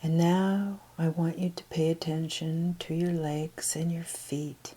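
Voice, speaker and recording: Female meditation guide: lowish, relaxed tone, gentle and breathy, extremely unhurried instruction. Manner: slow, gentle, unhurried counting and pauses.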